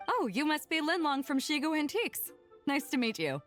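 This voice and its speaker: A woman's voice: high-pitched, very animated, normal speed, faint music behind. A woman voicing a hearty, confident ship captain in a video game, talking in a forthright, casual way. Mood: impressed, friendly. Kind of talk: scripted video game dialogue.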